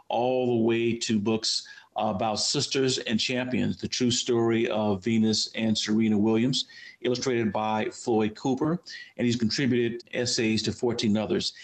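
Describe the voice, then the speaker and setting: A man from Los Angeles co-hosting an education podcast, chatting with his co-host and reading a guest's introduction. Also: medium pitch.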